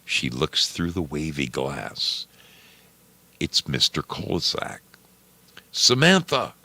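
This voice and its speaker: A very deep-voiced man tells a story in slow, deliberate phrases. His gravelly delivery is dramatic and suspenseful. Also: bright, forceful tone, no echo. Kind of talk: dramatic scripted story narration.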